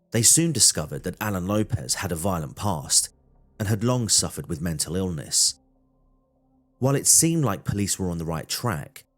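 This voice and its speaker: A man narrating a true-crime documentary from a script. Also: sits low.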